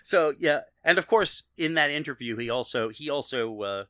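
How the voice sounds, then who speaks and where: A man's voice: fairly high pitch, animated, moderate pace, a bit bright, no room sound, clean studio sound. A man in his fifties chatting casually as a podcast co-host, explaining things like a keen trivia fan. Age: 50s. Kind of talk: casual panel discussion of a TV show.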